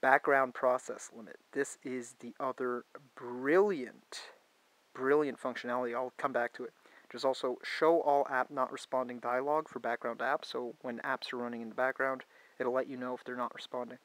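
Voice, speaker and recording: A man's voice: mid-range voice, flat delivery, moderate pace, fairly bright tone, dry recording, low-quality recording. A man with a stuffed-up, congested voice, casually talking through phone settings in a hands-on review, thinking aloud and often restarting. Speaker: tech reviewer.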